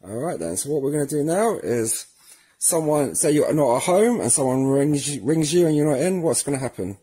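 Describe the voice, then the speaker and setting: Husky-voiced man giving a casual tutorial. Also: fairly high pitch, expressive, moderate pace, dark tone. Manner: informal, friendly address to viewers. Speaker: presenter of a product tutorial series. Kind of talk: casual spoken how-to demonstration.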